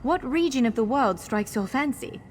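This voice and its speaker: A woman's voice: high-pitched, expressive, normal speed, slight background noise. A woman playing an earnest, encouraging female elf scholar who guides a hero in a fantasy video game. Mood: friendly. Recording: long hall reverb, faint music.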